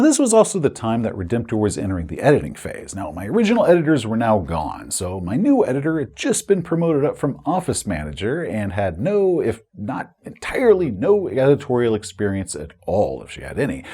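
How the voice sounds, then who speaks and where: A man's voice: medium pitch, dark tone, husky. A man recounting his own career as an author and video host in a conversational, candid, emphatic way. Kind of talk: personal retrospective storytelling to camera.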